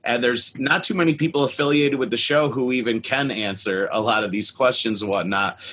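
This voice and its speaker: A man's voice: mid-range voice, fairly bright tone. A man hosting a casual interview, talking informally like an admiring fan. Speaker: interviewer, host.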